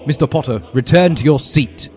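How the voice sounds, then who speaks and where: A man's voice: a little high, a little fast, darkish tone, pitched above the performer's own voice, lightly acted. A man voicing a stern, prim older female teacher who scolds disapprovingly in a comic story reading. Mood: scolding, indignant. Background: music.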